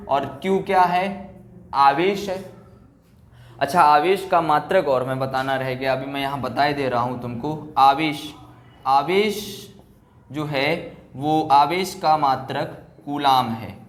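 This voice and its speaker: Male physics teacher, higher-pitched voice, repetitive classroom dictation with check questions, roomy echo. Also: slow. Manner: repeats key phrases and asks check questions. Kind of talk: classroom lecture at a board, explaining and dictating. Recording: echoey room.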